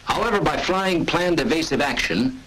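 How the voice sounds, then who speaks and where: A man narrates a 1940s military training film in a measured, authoritative way. His voice is husky, with a lively, rising and falling pitch. It sounds like an old film soundtrack. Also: low-quality recording. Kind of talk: scripted instructional narration.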